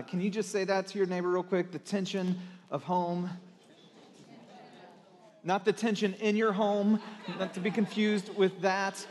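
A man addressing a congregation informally as a pastor. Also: high-pitched. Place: large church room, live address. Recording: long hall reverb.

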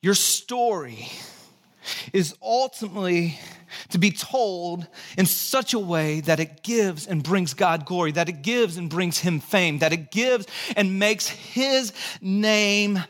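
High-voiced Texan pastor preaching. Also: male voice, slow. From Texas. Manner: conversational and animated, swinging between hushed low lines and lively high asides. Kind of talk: sermon. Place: church sanctuary.

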